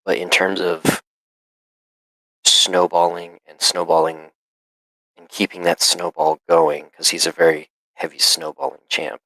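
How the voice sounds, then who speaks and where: A man with a very deep, gravelly voice that has a bright edge, heard close and dry. He is a little sick and coughs now and then, and he talks haltingly with plenty of fillers. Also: fairly even intonation, dry recording, poor audio. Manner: hesitant, many fillers. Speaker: student player. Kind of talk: casual call conversation.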